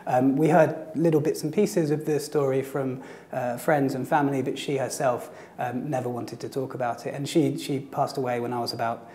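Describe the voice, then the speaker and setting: A man with a slightly gravelly, hoarse voice, as if still getting over a virus. His tone is mellow and dark, and he speaks at a middling pitch. He answers in a measured, hesitant way, pausing often to collect his thoughts. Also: level tone. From England. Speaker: guest, historian and blog co-editor. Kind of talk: casual interview answers. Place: temporary studio in an office building.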